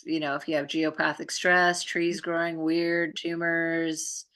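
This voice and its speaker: A woman's voice: low-pitched, flat delivery, a little slow, a bit bright. A woman chatting casually and informally, explaining things as a guest in a conversation. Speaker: talk guest. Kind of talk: casual chat, explaining energy practices.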